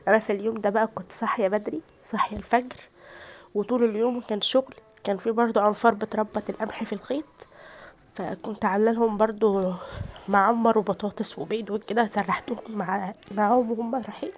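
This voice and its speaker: A woman's voice: medium pitch, steady tone, quick pace, a little hiss or hum. A tired woman with a rural Egyptian accent chats through her home cooking, explaining each step to her viewers. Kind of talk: casual cooking narration. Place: home kitchen.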